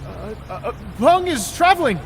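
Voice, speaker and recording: An actor playing an excitable, eager young male disciple in a video game, frantic and exclaiming over music. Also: very high voice, moderate pace, a little hiss or hum, exaggerated voice. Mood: nervous bluffing. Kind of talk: video game cutscene acting.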